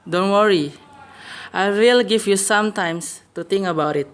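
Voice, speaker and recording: A woman's voice: mid-range voice, unhurried, close to an everyday voice. A woman playing an earnest young man, an older brother in love, in an amateur radio play with careful scripted delivery. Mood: earnest, nervous.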